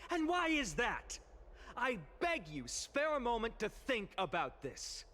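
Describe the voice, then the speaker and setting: A young orator in a video game delivers a fervent public speech. The voice is high and bright, swinging widely with emphatic, eloquent phrasing. Some ambient noise sits behind it. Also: male voice, huge pitch swings, a little slow, exaggerated voice. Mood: impassioned, accusing. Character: impassioned young male orator addressing a citizens' assembly. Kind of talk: dramatic theatrical game voice acting, public oration.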